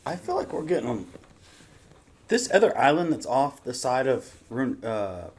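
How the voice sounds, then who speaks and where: A man's voice: medium pitch, sing-song, normal speed, faint music behind. A man hosting a reaction show, chatting casually in a rambling, slangy way. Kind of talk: casual chat.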